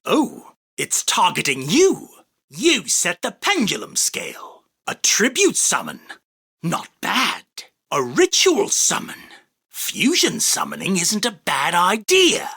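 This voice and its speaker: A man voicing an arrogant, theatrical, self-proclaimed king of card duels in an anime game, with a husky, forceful, declamatory delivery. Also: high-pitched, wildly swooping pitch, takes it slowly, heavily acted. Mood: cool, appraising. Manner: pompous, boastful, declamatory. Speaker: voice actor. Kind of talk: anime game character battle lines.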